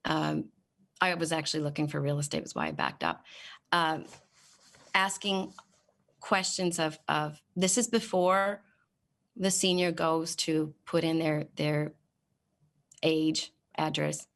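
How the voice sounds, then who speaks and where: A woman's voice: low voice, gravelly, a bit bright. Exasperated woman testifying at a hearing. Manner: exasperated, sarcastic questioning, halting with many fillers. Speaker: state senator at a committee hearing. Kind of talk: committee testimony, reading off a website form. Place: legislative hearing room.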